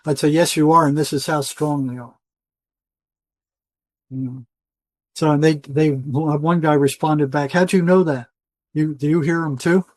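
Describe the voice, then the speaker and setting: Older guy being interviewed, kinda higher voice, dry close sound, pushes his points hard and repeats himself. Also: fairly even intonation, unhurried, pauses often, a little mellow, no room sound, poor audio. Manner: insistent, emphatic, repeats phrases for stress. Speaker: interview guest, retired psychotherapist. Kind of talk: conversational interview monologue.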